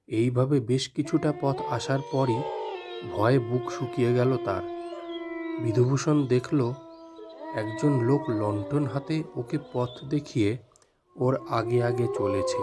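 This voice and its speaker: A man narrating a scripted ghost story in a measured, dramatic manner. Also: medium pitch, darkish tone. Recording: music behind the voice.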